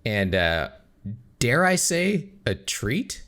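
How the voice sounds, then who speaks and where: A young man hosting a casual podcast, his pitch swooping up and down as he jokes and laughs through the banter. His voice sits in an ordinary middle register, loose and playful. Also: big pitch swings, extremely slow, fairly bright tone, smooth. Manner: joking, laughs often, goofy theatrical openings. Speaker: podcast host.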